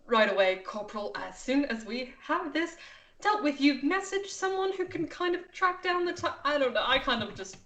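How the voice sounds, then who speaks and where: A woman playing a flustered, frazzled space officer who talks in a halting, evasive way in an improvised game. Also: high-pitched, lively intonation, fairly bright tone, roomy sound, voice pushed up. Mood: sheepish, weary.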